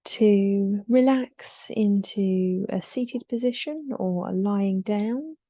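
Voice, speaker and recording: A woman leading a guided meditation in a soothing, unhurried way, leaving long pauses between short, softly breathed phrases. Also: medium pitch, dry recording.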